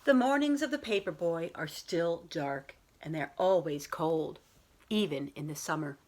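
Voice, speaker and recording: A woman's voice: low voice, expressive, unhurried, darkish tone, a little hiss or hum. A woman reading a picture book aloud as a school librarian, talking to young children in simple, explanatory phrasing.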